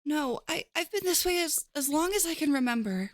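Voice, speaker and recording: A woman voicing a young princess under a curse, speaking softly and earnestly. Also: high-pitched, animated, a little fast, dry recording, clean studio sound. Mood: sorrowful. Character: young princess cursed to turn ogre by night.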